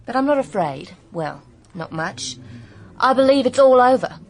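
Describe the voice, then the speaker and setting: A woman's voice: on the high side, expressive, moderate pace, a little mellow, a little hiss or hum, poor audio. An actress playing a spirited, outspoken young woman in a radio drama, with a British accent and an emphatic, frank manner. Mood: frank, uneasy. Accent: British English.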